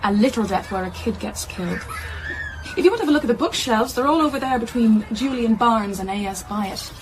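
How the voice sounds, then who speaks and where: An actress playing a brisk, bookish, teasing childminder with a warm heart, in natural radio drama dialogue over clear background noise. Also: female voice, a little high, expressive, lightly acted. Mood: bookish, probing.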